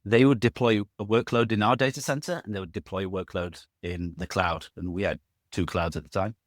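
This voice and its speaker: Middle-aged man, low-pitched, loose and jokey guest, dry recording. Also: steady tone, fairly quick, no room sound. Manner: rambling, self-correcting, jokey asides. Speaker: podcast guest. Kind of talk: casual conversational interview.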